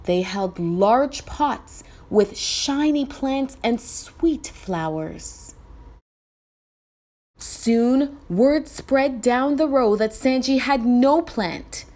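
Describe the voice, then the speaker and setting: A woman reading a children's story aloud in expressive scripted narration. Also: on the high side, drawn-out words.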